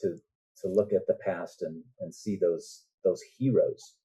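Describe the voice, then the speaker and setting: Guy being interviewed on a podcast, really dark soft-edged husky voice, earnest, explains stuff and checks in a lot. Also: sits low, steady tone, a little slow, low-quality recording. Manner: earnest, often checks in with "right?". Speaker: author, podcast guest.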